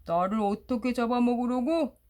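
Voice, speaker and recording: A woman's voice: a little high, normal speed, a little mellow, close to an everyday voice. A woman voicing a big, hungry, growling bear in a storybook, incredulous in manner. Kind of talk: storybook character voice.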